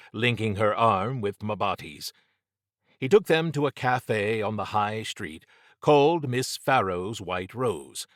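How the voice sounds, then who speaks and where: A man's voice: fairly low pitch, no room sound, studio quality. A man reading scripted story narration as an audiobook narrator.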